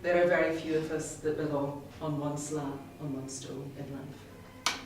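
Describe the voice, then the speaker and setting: A very reverberant, noisy recording of a middle-aged woman giving a prepared speech. Her delivery is measured, wry and self-deprecating, picked up from some way off in the room. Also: low-pitched, steady tone. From Scotland, born in the Highlands. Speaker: club member giving a first speech. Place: indoor meeting room, in front of an audience. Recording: very echoey room, distant mic, clearly noisy.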